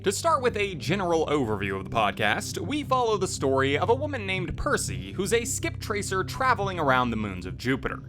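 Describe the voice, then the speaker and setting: A man's voice: high voice, huge pitch swings, fairly quick, hardly any pauses. A man giving scripted narration as a video host. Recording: music playing behind the voice. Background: loud music.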